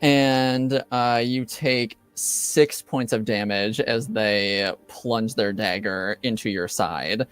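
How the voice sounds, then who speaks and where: A man running a tabletop role-playing game, talking in a halting way with lots of fillers. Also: lowish voice, fairly even intonation, fairly bright tone, smooth, no echo, soft background music. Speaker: game master.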